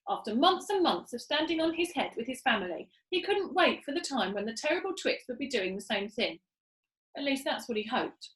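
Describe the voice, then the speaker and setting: High, animated woman reading a story. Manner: expressive, dramatic emphasis. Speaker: storybook reader, teacher. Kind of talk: animated read-aloud narration of a children's story. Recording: echoey room.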